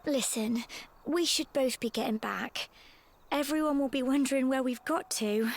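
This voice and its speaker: A teenage British village girl in a fantasy game, a sentimental childhood friend. Her voice is high and youthful, and she speaks earnestly and artlessly, with a wistful touch. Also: normal speed, vocal fry. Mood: sad, accepting. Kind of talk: video game voice acting.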